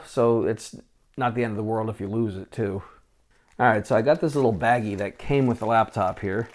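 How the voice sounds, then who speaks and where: Man in his forties, relaxed tech host, chatty with fillers, lapel mic, small indoor room. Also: medium pitch. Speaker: vintage tech channel host. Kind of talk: casual hands-on tech walkthrough.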